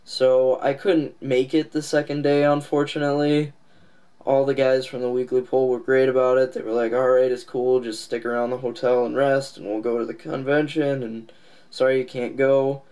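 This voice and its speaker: A congested man with a nasal voice, getting over a cold, giving a casual, halting update to his viewers. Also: mid-range voice, level tone, mellow, clean tone. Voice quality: nasal, stuffed-up. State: congested, recovering from a cold and lost voice. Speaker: comics channel host.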